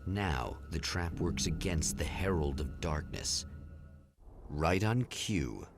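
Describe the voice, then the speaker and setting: A very deep, gravelly narrator voice for an eerie TV mystery show in a game. He is grave and portentous, taking his time over each phrase, with music clearly behind him. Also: animated, slow pace, exaggerated voice. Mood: grave, ominous. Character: ominous male narrator of an eerie TV anthology show. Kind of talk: scripted narration in the manner of a vintage eerie anthology TV show.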